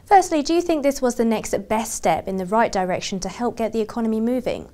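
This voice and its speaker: A woman's voice: on the high side, lively intonation, fast talker, warm tone. A woman working as a broadcast journalist, putting scripted interview questions in a formal, polished presenter's delivery.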